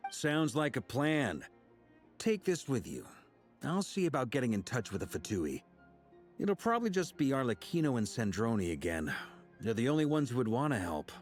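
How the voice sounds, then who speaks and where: A man's voice: mid-range voice, sing-song, normal speed, faint music behind, lightly acted. A man playing a confident, easygoing, amused leader in a video game. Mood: amused, assured.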